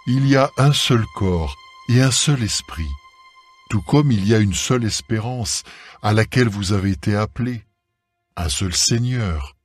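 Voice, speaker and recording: Man, lowish pitch, very slow solemn Bible reading, deliberate phrasing, music underneath. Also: slightly rough, no echo. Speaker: scripture reader. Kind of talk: solemn scripted Bible reading, dramatised audio. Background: background music.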